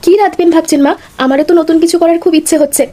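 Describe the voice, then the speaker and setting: A woman's voice: very high voice, steady tone, very fast, warm tone. A woman voicing an eager, helpful young daughter-in-law in a village cartoon, polite in manner. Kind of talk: scripted cartoon dialogue.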